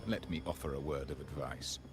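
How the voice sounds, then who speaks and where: Deep, grandiose villainous cult fanatic. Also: male voice, a little fast, music in the background. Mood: smug, menacing. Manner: grandiose, menacing, declamatory. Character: fanatical villainous cultist, smug, theatrical, devout to dark god. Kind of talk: video game voice acting.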